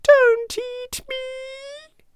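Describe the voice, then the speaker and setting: Casting: tiny timid storybook mouse, male, extremely high squeaky falsetto, drawn-out delivery. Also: big pitch swings, heavily acted. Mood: pleading. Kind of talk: children's storybook character voice.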